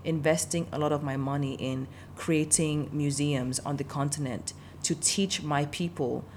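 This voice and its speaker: A woman's voice: deep voice, fairly even intonation, measured pace, a little mellow, slight background noise. A woman who grew up in Denmark, talking passionately and emphatically in a casual conversation, often repeating herself.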